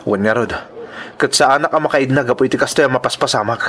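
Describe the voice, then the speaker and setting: A man's voice: mid-range voice, fast, fairly bright tone, natural voice. A man playing a young male worker who speaks out earnestly and indignantly against unfair treatment. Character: young male migrant worker standing up for coworkers. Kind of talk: audio drama acting.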